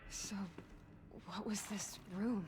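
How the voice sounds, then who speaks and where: An actress voicing a determined young huntress in a video game, blunt, defiant and sardonic. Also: female voice, medium pitch, fairly even intonation, takes it slowly, heavy background noise, natural voice. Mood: awed, curious. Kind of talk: dramatic video game voice acting. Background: game music.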